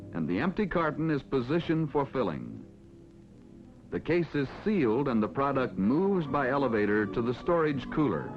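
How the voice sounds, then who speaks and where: An American man reading scripted narration for a mid-century educational film in a formal, measured announcer style. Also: medium pitch. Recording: dull vintage film soundtrack with music. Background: background music.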